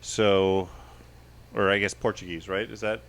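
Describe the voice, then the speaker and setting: Rambling low-voiced man. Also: flat delivery, a little slow, fairly bright tone. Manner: rambling, self-deprecating, laughs often. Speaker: podcast co-host. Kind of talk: casual comedy chat.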